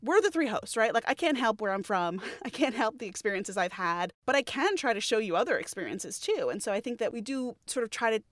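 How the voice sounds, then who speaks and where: A woman's voice: fairly high pitch, very animated, brisk, hardly any pauses, dry recording, clean studio sound. A woman from New York in her thirties, a podcast co-host chatting in an interview, self-deprecating and laughing often. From New York, United States. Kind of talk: casual interview conversation.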